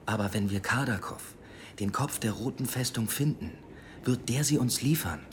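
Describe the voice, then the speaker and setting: An actor playing a guarded, ambitious police inspector in 1920s Berlin, measured and businesslike, in a naturalistic radio drama style. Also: male voice, medium pitch, slight background noise, close to an everyday voice. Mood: guarded, reporting.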